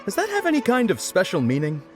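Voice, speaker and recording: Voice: medium pitch, wildly swooping pitch, quick pace, faint music behind. Sombre dreamer.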